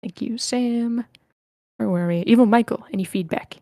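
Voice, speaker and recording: A woman's voice: on the high side, normal speed, warm tone, no room sound, clean studio sound. A woman casually hosting an online writers' group, calling on people and reading comments aloud. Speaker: host moderating a writers' feedback session. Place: live online meeting room.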